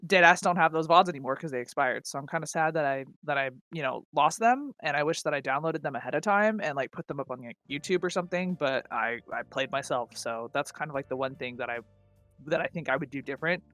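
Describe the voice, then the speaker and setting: A woman with a husky voice, co-hosting a podcast in loose, self-mocking casual chat full of filler words and casual swearing. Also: low voice, fast talker, fairly bright tone, no echo, soft background music. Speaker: podcast co-host and streamer.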